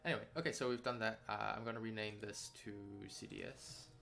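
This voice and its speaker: A man's voice: on the low side, fairly even intonation, fairly quick. A man chatting casually as he follows instructions, tentative and often checking what to do next. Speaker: lead writer learning the software. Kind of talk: casual back-and-forth while following instructions. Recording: clear music behind the voice.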